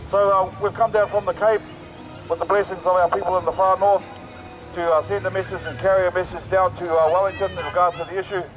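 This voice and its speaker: Hoarse, rousing New male rally speaker, outdoors on a megaphone. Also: high voice, little pitch movement, a little fast, soft background music, rough recording. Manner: rousing, repetitive, with fillers. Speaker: protest march speaker. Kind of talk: outdoor rally speech through a loudspeaker. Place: outdoors on a city street.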